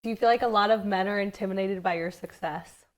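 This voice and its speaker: A woman's voice: medium pitch, fast talker. A woman hosting a casual podcast interview, asking questions and affirming her guest often. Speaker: podcast host.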